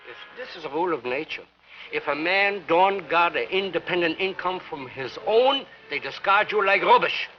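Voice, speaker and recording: A grumbling old man with an Eastern European accent. His rueful, storytelling voice is fairly high and comes through dull, muffled old TV audio. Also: animated, normal speed. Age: older.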